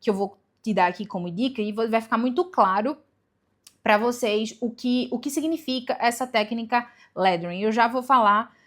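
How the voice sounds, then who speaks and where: Chatty woman, fairly high voice. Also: normal speed, a bit bright. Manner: chatty, self-correcting, rhetorical questions. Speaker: vlogger giving language-learning tips. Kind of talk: casual talking-to-camera advice.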